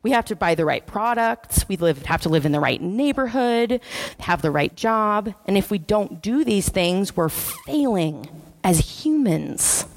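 A woman's voice: mid-range voice, animated, unhurried. A woman preacher, giving a sermon in a church in a conversational, wry, self-deprecating way. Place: church sanctuary.

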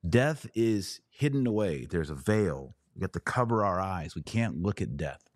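Low-voiced man teaching religion, emphatic. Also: very animated, no echo, clean studio sound. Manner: emphatic, deliberate phrasing with dramatic pauses; didactic, preacher-like rhetorical questions. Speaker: podcast host.

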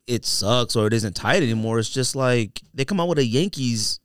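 Dude podcast host, super animated pitch, slangy and emphatic, repeats himself, dry close sound. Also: male voice, moderate pace, clean tone, dry recording. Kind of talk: casual sneaker podcast banter.

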